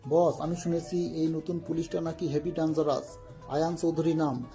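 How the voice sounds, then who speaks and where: A very dark, flat male voice put on by an actor plays a wary street-thief sidekick. He sounds deferential but sceptical, speaking colloquially in an audio drama with faint music behind. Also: fairly high pitch, normal speed, natural voice. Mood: wary, gossiping. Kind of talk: dramatised audio story acting.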